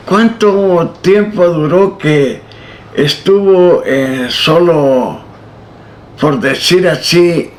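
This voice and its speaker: A man interviewing a pastor, asking slow, gently probing questions about his life. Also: fairly high pitch, vocal fry.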